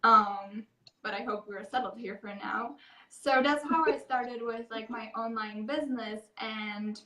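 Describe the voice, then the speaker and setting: A woman's voice: fairly high pitch, fairly even intonation, a little slow, sharp tone. A woman from the Republic speaking as an interview guest over a video call, talking casually with lots of fillers. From Czech Republic. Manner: rambling, many fillers like "like" and "um". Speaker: guest, happiness coach. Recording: echoey room, distant from mic.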